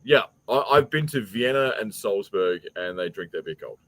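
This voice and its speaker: A man's voice: on the low side, expressive, moderate pace, fairly bright tone, no room sound. A man hosting a casual quiz among friends, bantering bluntly and often repeating words as he starts. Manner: teasing, blunt, stammering repeats. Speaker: show host running a quiz.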